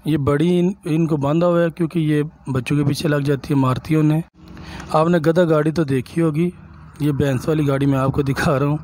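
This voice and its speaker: A man, a village vlogger from Punjab, calmly explaining sights to viewers while he walks outdoors and films on his phone. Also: on the high side, fairly quick, a little hiss or hum. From Punjab, Pakistan. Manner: calm, explanatory, addresses viewers directly. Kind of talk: walking tour narration.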